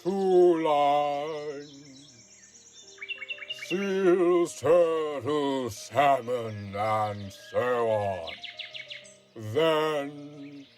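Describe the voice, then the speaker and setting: A man playing a mournful, sighing turtle creature in an audiobook drama, with slow, sorrowful delivery. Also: fairly high pitch, a little mellow, soft background music. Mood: wistful. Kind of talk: scripted audiobook character acting.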